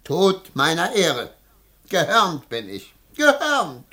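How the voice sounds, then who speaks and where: An actor playing a greedy, jealous, hot-tempered merchant in a German radio play, theatrical and sly. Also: male voice, fairly high pitch, huge pitch swings, slow, sharp tone, soft background music, exaggerated voice. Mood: jealous rage.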